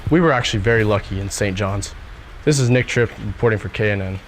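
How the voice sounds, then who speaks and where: A man's voice: fairly low pitch, fast, slight background noise. Teen boy reading a news report. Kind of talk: scripted news narration.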